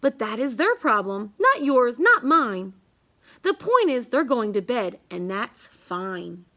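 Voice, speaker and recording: A woman reading a rhyming children's picture book aloud in a measured, singsong way. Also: on the high side, sing-song, pushed and bright. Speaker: storybook reader.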